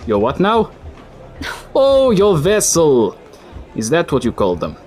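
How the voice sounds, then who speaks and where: A chatty, folksy local in his thirties, played by a man. His voice sits a little high and moves lively up and down, with soft music under the scene. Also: slow pace, exaggerated voice. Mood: friendly. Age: 30s. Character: friendly male city dweller, folksy and helpful. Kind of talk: audio drama acting.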